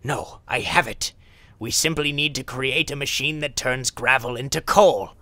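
A man's voice: medium pitch, moderate pace, sharp tone, no echo, lightly acted. A man playing a pompous, dim-witted, cranky old rich man, blustering and muddled, in a theatrical comic dub.

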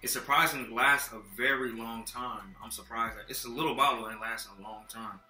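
A man's voice: mid-range voice, steady tone, a little fast, reverberant, background noise. A young man from Texas casually rambling through a car care product rundown, full of fillers, recorded on a laptop mic in a dim apartment. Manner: rambling, filler-heavy, laid-back. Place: dim apartment living room, indoors. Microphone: laptop built-in mic.

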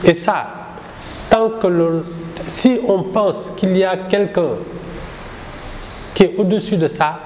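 A middle-aged man from Senegal, the founder of a satirical newspaper, answering interview questions in a measured, reflective way while gesturing a lot. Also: sits high, a little mellow, slight background noise. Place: small indoor room with poster backdrop. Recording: roomy with reverb and faint ambience.

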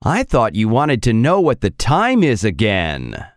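A woman voicing a small, helpful, slightly puzzled navigator gadget in a children's cartoon, with a deliberate, swooping delivery. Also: extremely low pitch, wildly swooping pitch, no room sound, clean studio sound, full character voice. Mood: puzzled, obliging. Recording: music behind the voice.

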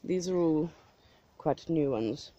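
A young woman vlogging as she walks through a churchyard, chatting softly and thoughtfully, filmed on a handheld camera at arm's length. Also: low voice, expressive, slow, dull audio. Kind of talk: casual chat. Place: outdoor churchyard, windy. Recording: loud wind and outdoor noise.

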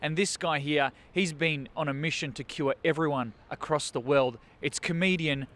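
Upbeat interviewer guy with a handheld mic, kinda high voice. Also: normal speed, fairly bright tone. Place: small stage.

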